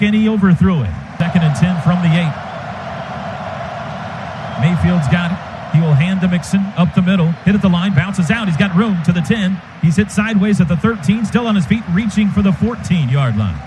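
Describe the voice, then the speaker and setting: An American man giving live radio play-by-play of a football game in clipped phrases with excited calls. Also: sits high, fairly quick, background noise.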